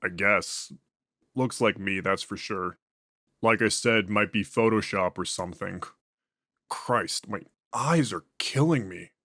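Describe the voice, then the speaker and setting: A man's voice: fairly low pitch, takes it slowly, bright, forceful tone, dry recording, clean studio sound, pitched below the performer's own voice, full character voice. Foul-mouthed prisoner, voiced by a man, agitated and profane, in a horror audio drama. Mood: defensive. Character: foul-mouthed, defensive male prisoner under interrogation.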